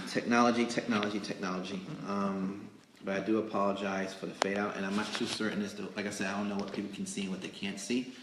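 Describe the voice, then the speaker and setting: A man hosting a casual live stream, chatting in a rambling, halting way as he fills time. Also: on the low side, flat delivery, background noise. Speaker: magazine editor hosting a live interview show. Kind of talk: casual improvised livestream hosting. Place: indoors in a cake studio. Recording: roomy, echoing live stream indoors.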